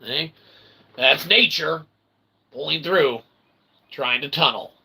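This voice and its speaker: Gravelly man rambling through homespun philosophy. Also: fairly high pitch, slow, sharp tone, a little hiss or hum. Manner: wordy, pseudo-academic phrasing with slangy asides. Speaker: host of an independent philosophy show.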